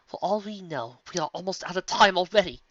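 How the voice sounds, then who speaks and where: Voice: mid-range voice, expressive, fairly quick, a bit bright, muffled recording, voice pushed up. A performer voicing a prim, serious, educated female pony musician who speaks formally and precisely in a story reading. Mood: earnest, worried.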